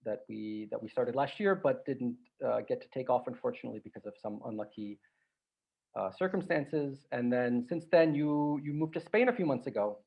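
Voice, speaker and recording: Gravelly-voiced man hosting a podcast. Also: medium pitch, normal speed. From Croatia. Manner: relaxed, chatty, with restarts and filler. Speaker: podcast host. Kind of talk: casual interview chat. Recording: lo-fi podcast audio.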